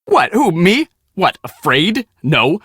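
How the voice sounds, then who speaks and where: A cocky, high-voiced young American photographer. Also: male voice, sing-song, takes it slowly, a bit bright, breathy edge, no room sound, studio quality, heavily acted. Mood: squeamish, flustered. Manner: slangy, boastful, dramatic. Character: cocky, excitable young storm-chasing photographer, squeamish about mice. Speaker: video game character, storm team media guy. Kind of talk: animated casual game dialogue.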